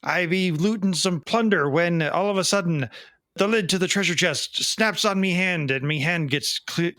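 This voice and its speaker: A man's voice: sits high, fairly bright tone, no room sound, studio quality, voice pushed up. Man putting on a salty yarring pirate. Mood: boastful. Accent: stage pirate. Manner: drawn-out yars, salty pirate slang. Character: gruff old-fashioned pirate telling tall tales.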